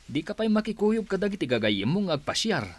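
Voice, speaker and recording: A gentle, advising older brother. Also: male voice, sits high, big pitch swings, brisk, dry recording. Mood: concerned. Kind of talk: radio drama acting.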